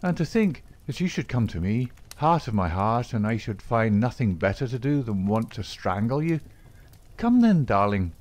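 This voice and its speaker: A man reading aloud, voicing a smooth-talking Irishman in a secret society who reassures his sweetheart. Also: medium pitch, sing-song, moderate pace, natural voice. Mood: ardent. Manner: coaxing, reassuring, soft-spoken.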